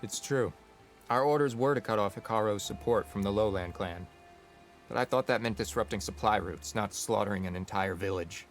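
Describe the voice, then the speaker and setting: An actor playing an earnest, remorseful young soldier who deserted the rebels, in a video game cutscene. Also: male voice, lowish voice, normal speed, soft background music, close to an everyday voice.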